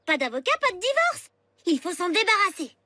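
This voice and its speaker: A woman's voice: extremely high pitch, big pitch swings, brisk. A woman voicing a combative, dramatic child hatching plots in a cartoon. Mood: defiant. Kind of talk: French animated series dubbing.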